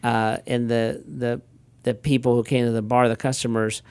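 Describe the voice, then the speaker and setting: A middle-aged man, a pastor, telling everyday stories in a relaxed chatty way with frequent restarts. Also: medium pitch, normal speed, clear, resonant tone, no room sound. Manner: relaxed storyteller, frequent restarts and quoted asides. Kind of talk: casual conversational talk.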